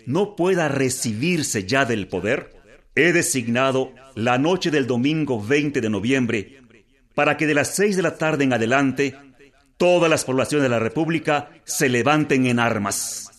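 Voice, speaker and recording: A man's voice: medium pitch, unhurried, natural voice. An actor playing a solemn male political leader, formally declaiming a manifesto in a radio drama. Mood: solemn, resolute.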